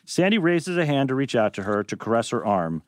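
A man's voice: medium pitch, very animated, a little fast, dry recording. A man narrating a screenplay's stage directions at a table read. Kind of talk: animated script reading.

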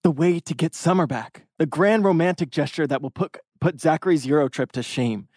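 A man's voice: on the high side, fairly quick, darkish tone, dry recording, clean studio sound, lightly acted. A man reading a wordy, lovesick teenage boy with big schemes, theatrical and rambling, at a TV drama table read. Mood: excited scheming.

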